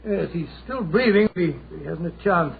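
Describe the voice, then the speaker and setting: An actor playing a loyal, anxious English doctor with an English accent, blustery and flustered, in 1940s radio style. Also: male voice, sits high, expressive, normal speed. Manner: blustery, flustered, hesitant repeats. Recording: creaky-sounding noisy, muffled old radio recording.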